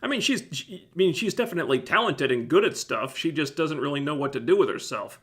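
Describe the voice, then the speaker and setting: A man hosting a casual livestream, with a phlegmy, scratchy throat, talking in a relaxed, rambling way that keeps breaking off and restarting. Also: fairly high pitch, quick pace. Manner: relaxed, rambling, self-interrupting. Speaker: livestream host reviewing TV shows. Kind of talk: casual livestream chat answering viewers.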